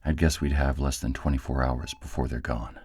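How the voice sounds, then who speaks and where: A man playing a steady, authoritative director in an audio roleplay, measured and businesslike with dry care. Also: a bass voice, slightly rough. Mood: businesslike, urgent. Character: steady, authoritative male director planning a raid, dryly caring. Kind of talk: audio roleplay drama acting.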